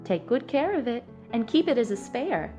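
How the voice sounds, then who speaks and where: A woman voicing a gentle, loving mother raccoon in a storybook, speaking in a tender, soothing way. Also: on the high side, big pitch swings, normal speed, soft background music. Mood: reassuring.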